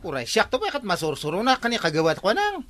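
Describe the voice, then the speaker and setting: High, bright, meek Ilocano workman. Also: wildly swooping pitch, fast, heavily acted. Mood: disheartened. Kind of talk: Ilocano radio comedy drama acting.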